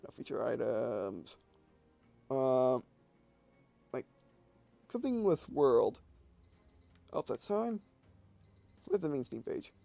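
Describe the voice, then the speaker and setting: A man's voice: medium pitch, expressive, slow pace, vocal fry, long pauses, warm tone, faint music behind. A man giving casual, unscripted game commentary as a let's-play host, rambling and hesitant, often correcting himself mid-sentence.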